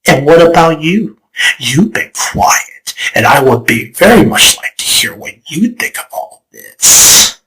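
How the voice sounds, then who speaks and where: A man's voice: a little high, sing-song, bright, forceful tone, exaggerated voice, rough recording. A man voicing a scheming, theatrical male chaos spirit in a fan comic dub. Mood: probing. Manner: dramatic, teasing, slow and deliberate. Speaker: storyteller figure.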